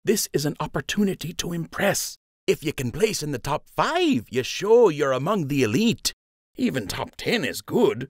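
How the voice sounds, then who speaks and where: A loyal young rabbit from a storybook, performed by a man, teasing and urging his friend along. The pitch sits well above a man's usual talk and moves about in a lively, insistent way. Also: moderate pace, no echo, studio quality. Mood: encouraging. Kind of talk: audiobook character acting.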